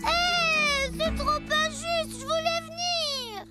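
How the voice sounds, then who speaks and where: A woman's voice: extremely high pitch, steady tone, takes it slowly. A woman voicing a tiny, eager, naive little child with a whiny streak in a French cartoon dub. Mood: whiny, disappointed. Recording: loud music behind the voice.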